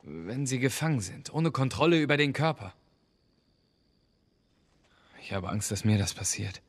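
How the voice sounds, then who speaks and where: A man voicing an anxious, withdrawn young boy in a German video game dub, subdued and guarded, with a husky voice. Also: mid-range voice, lively intonation, normal speed. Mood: anxious, brooding. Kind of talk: German video game dubbing, naturalistic dialogue.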